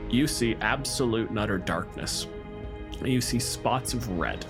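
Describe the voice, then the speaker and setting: A man running a tabletop game as game master, making rulings and narrating scenes in deliberate, descriptive second person. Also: mid-range voice, expressive. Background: music behind the voice.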